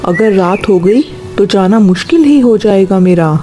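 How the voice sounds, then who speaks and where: Woman voicing a mellow-toned cartoon father crow. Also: medium pitch, lively intonation, moderate pace, soft background music, voice pushed down, natural voice. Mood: hungry, pleased. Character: adult male crow, a caring family father. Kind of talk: Hindi cartoon story dialogue.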